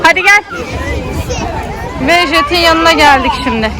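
A woman's voice: very high-pitched, expressive, normal speed. A woman with an Istanbul Turkish accent giving casual, chatty vlog commentary, talking both to a child and to viewers. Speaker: vlogger parent filming a child. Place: outdoor children's theme park. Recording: busy outdoor crowd noise. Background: crowd chatter.

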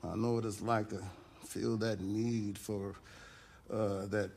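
Middle-aged garage owner, gravelly fry, American accent, gruff but fond, wry, game dialogue. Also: male voice, lowish voice, flat delivery, takes it slowly, a little mellow, slight background noise. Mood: wry, relaxed. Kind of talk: naturalistic video game dialogue.

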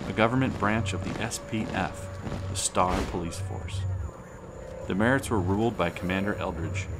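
A man playing a grandfather who narrates a space saga to his grandchild in an audio drama. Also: deep voice. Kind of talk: storytelling narration. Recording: clear background noise.

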